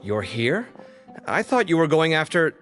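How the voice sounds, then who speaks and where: A dry matter-of-fact scholar. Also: male voice, fairly high pitch, very animated, measured pace, fairly bright tone. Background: game music.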